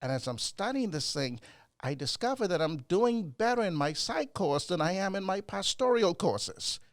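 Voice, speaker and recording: An older man from the Bahamas, a psychologist guest, telling his story in a measured, gracious way and repeating words as he builds a point. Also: sits high, sing-song, no echo, studio quality. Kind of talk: conversational storytelling.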